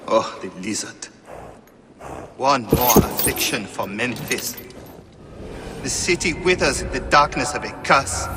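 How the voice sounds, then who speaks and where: A man playing a despairing, halting high priest of ancient Egypt in a dramatic video game scene. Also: animated, background noise, lightly acted. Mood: weary despair. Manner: halting, grave, sorrowful. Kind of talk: dramatic game cutscene acting.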